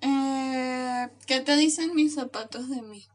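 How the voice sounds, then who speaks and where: A young woman with a Colombian accent in a casual, playful chat, often breaking into giggles. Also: high voice. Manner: giggly, playful, slow-spoken. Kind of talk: casual chat game with a friend.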